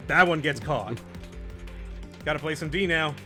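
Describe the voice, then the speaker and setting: A man's voice: on the high side, measured pace, bright, forceful tone, soft background music. A man hosting a group let's play, casually commenting and patiently explaining the game's mechanics.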